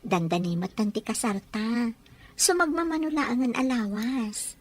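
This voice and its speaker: A woman's voice: on the high side, lively intonation. A woman with an Ilocano accent playing an affectionate young bride-to-be, who fusses sweetly and talks playfully. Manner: sweet, fussing, quick and playful.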